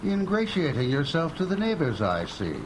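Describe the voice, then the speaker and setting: A man's voice: a little high, wildly swooping pitch, normal speed, background noise. An actor in a live radio drama playing a haughty, spiteful man with a sneering, pompous manner. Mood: smug, sneering. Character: haughty, spiteful man feuding with his brother and neighbors. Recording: live radio recording with music.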